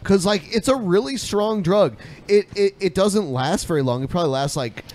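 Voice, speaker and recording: A man's voice: high voice, expressive, moderate pace, darkish tone. A man co-hosting a podcast, chatting casually in a rambling way. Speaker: podcast co-host. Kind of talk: casual chat.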